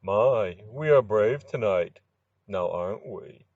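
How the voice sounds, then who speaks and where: A man voicing a stuffy, condescending high-class butler in a storybook tale, speaking in a pompous, formal manner. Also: expressive, slow pace, a little mellow, no echo, lo-fi sound, full character voice. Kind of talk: storybook character voice.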